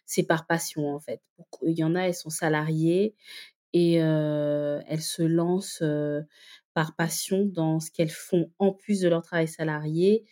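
A woman with a fairly low, mellow voice talks through her ideas in a relaxed interview. She explains at length and hesitates often as she searches for her words. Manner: explanatory, thinks aloud with many hesitations. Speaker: guest, founder of a community for entrepreneur mothers. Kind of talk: casual interview conversation.

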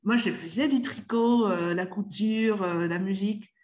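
A woman's voice: medium pitch, unhurried, a little mellow. A middle-aged woman from the Netherlands, by mother tongue, speaking French as a podcast guest, searching for words with many restarts. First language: Dutch. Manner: hesitant, many restarts and fillers. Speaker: guest, doula of the inner child. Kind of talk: casual podcast conversation.

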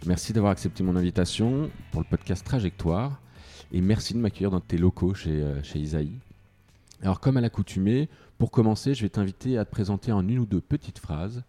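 Brief: male podcast host, very low dark voice, faint music behind. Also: fairly quick, dry recording. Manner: smooth read intro, then hesitant questions with fillers. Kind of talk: scripted intro then interviewing.

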